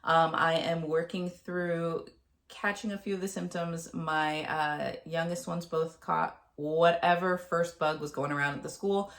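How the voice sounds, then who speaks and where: A woman in her thirties talking casually to camera about her month's reading, a bit nasal and gravelly from a cold, with plenty of ums and uhs. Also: deep voice, steady tone, a little slow, a bit bright. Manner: chatty, with frequent ums and uhs. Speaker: book vlogger.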